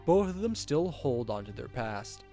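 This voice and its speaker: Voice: mid-range voice, big pitch swings, a little slow, mellow, soft background music. A game streamer reading a visual novel aloud in a playful, theatrical way, stumbling over the text and reacting casually.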